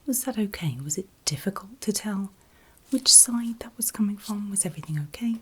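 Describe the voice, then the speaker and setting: A woman whispering very gently and reassuringly in a breathy, unvoiced ASMR style, as a medical examiner in a roleplay, close to the microphone. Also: medium pitch, expressive, brisk. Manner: gentle, reassuring, checking in often. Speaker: ASMR performer playing an ear examiner.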